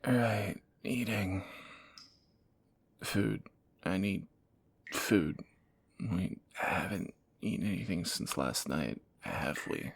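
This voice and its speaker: A man playing a groggy fantasy assassin in an intimate romantic audio roleplay, husky and halting, with wry understatement. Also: deep voice, animated, no echo, exaggerated voice. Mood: sleepy, sheepish. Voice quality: gravelly, husky morning voice. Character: groggy male fantasy assassin, softening captor, flustered and teasing.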